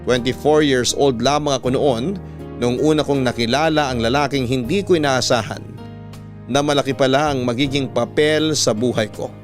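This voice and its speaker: A man's voice: mid-range voice, normal speed. Male radio storyteller over a music bed. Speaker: radio show host reading a listener's letter. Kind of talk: scripted storytelling narration for radio drama.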